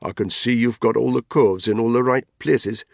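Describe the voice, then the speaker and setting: A man's voice: mid-range voice, moderate pace, vocal fry, no echo, studio quality, voice pushed up. A man doing a wry, teasing soldier.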